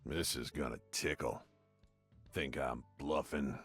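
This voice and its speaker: An actor playing a grizzled, cocky gunslinger outlaw in a video game, gruff and taunting with a drawl. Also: male voice, extremely low pitch, fairly even intonation, slow pace, fairly bright tone, no room sound, soft background music, exaggerated voice. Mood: confident threat. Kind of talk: video game character voice lines.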